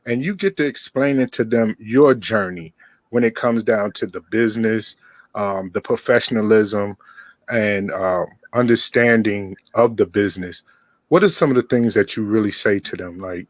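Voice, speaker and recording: A man's voice: fairly low pitch, no echo. A man hosting a casual radio interview, encouraging his guest with frequent affirmations. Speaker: radio show host.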